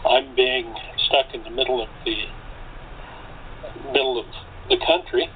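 A man speaks haltingly in a casual group conversation, pausing partway through his thoughts. His voice comes through thin and phone-like, with faint noise in the background. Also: mid-range voice, huge pitch swings. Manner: halting, pausing mid-sentence. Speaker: cast member being interviewed. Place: rural area in the middle of the country. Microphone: online call.

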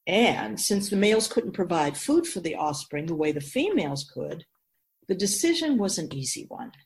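A woman playing a wise otherworldly spirit in a radio drama, explaining things patiently in a measured, knowing way. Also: fairly low pitch, very animated, a little hiss or hum. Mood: didactic. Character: Keeper of Souls, wise otherworldly spirit in female voice.

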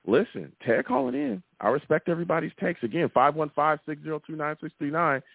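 Male sports talk host: lowish, swooping delivery, emphatic repetition, dry but slightly muffled stream audio. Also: expressive, a little fast, creaky, dry recording. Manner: emphatic, repeats phrases for effect. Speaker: host of a live sports call-in show. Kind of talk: animated sports talk with callers.

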